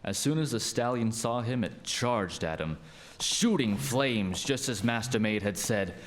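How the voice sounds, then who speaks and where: Expressive, lowish-voiced male storyteller. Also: a little slow, a little hiss or hum. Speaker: narrator. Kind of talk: scripted storytelling narration for a radio play.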